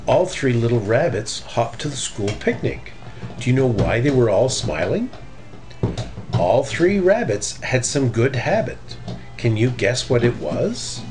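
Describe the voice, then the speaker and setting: A middle-aged man reading a children's story aloud in an expressive, deliberate way. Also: mid-range voice. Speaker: storybook reader.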